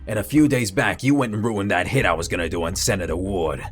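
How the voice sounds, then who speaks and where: Male actor as a wisecracking supervillain heavy, deep voice, smug and taunting, comic audio drama. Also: fast, faint music behind, natural voice. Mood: smug, gloating. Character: gloating, wisecracking supervillain henchman, adult man.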